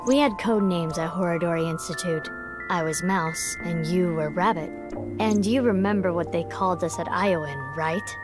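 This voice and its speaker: A woman voicing a composed young female agent in a video game, speaking in a calm, matter-of-fact way. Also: on the low side, normal speed, close to an everyday voice. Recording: game audio with background music.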